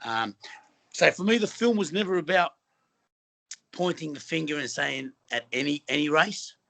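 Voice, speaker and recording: A man's voice: on the high side, lively intonation, moderate pace, vocal fry, sharp tone. A middle-aged Australian man from Western Sydney, speaking as a film actor guest in an earnest, rambling way with many fillers and restarts. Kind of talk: casual interview conversation.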